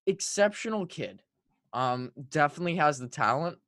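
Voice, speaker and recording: A man's voice: fairly high pitch, expressive, moderate pace, a bit bright, no room sound, clean studio sound. A French-speaking man co-hosting a casual sports podcast, talking in an emphatic, hyperbolic way. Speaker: hockey podcast co-host.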